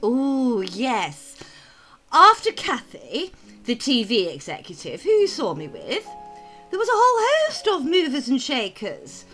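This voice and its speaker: A woman's voice: sits high, huge pitch swings, a little slow, fairly bright tone, faint music behind, full character voice. A woman playing a tipsy, sarcastic socialite wife in an audio drama, mocking and theatrical as she needles her husband. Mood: boastful, teasing. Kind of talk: amateur audio drama acting.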